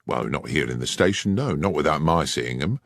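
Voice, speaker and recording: A man's voice: very deep voice, expressive, fairly quick, creaky, dry recording, clean studio sound, exaggerated voice. A man reading aloud, voicing a respectful, matter-of-fact railway station master. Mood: dutiful. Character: middle-aged male railway station master, deferential.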